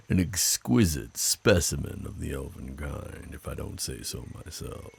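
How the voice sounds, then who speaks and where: An ASMR roleplay werewolf, acted out by a man, speaks close and dry in a rough, gravel-edged male voice. He is flirtatious and teasing, yet protective of his forest. His delivery is unhurried, with deliberate pauses. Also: no room sound, exaggerated voice. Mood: flirtatious, amused. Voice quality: very deep, gravelly, husky. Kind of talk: ASMR roleplay, intimate scripted monologue.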